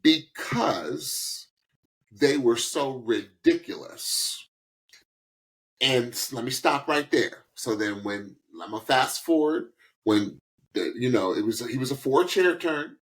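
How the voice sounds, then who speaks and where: A man speaks as a podcast host in a loose, informal style. His pitch moves up and down a lot as he gives opinions, and he leans on repeated words to press his point. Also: takes it slowly. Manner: animated, emphatic, informal, repeats words for emphasis. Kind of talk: casual reaction podcast talk, giving opinions.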